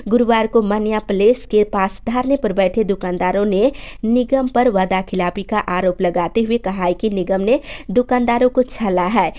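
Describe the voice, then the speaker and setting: A woman reads a regional news bulletin from a script. Her delivery is steady and even from one headline to the next. Her voice sits at an ordinary pitch for a woman. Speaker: news reader.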